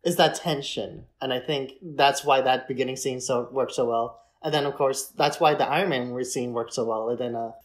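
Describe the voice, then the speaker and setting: A man with a mid-pitched voice hosts a casual podcast discussion. He explains things conversationally, as if talking a film through with a friend. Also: steady tone, moderate pace, few pauses. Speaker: podcast host.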